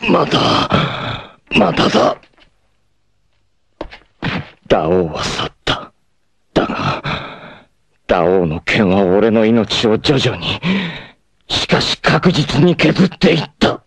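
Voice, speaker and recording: A noble, proud warrior of an 1980s anime. His low voice swings widely between hushed farewells and fierce cries, measured and unhurried. Solemn and dramatic throughout. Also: sing-song, creaky, slightly rough, full character voice. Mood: solemn reflective. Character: noble long-haired male martial artist, proud and self-sacrificing.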